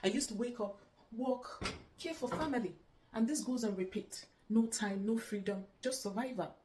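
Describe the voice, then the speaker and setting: Nigeria-born woman, quiet testimonial, echoey room, little clicks behind. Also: a little high, normal speed, a little hiss or hum. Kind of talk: promotional testimonial.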